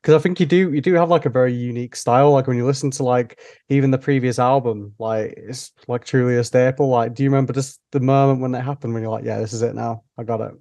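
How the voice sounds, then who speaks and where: A man co-hosting a podcast, asking questions casually and quickly. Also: mid-range voice, fairly even intonation, warm tone, no echo. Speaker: podcast co-host.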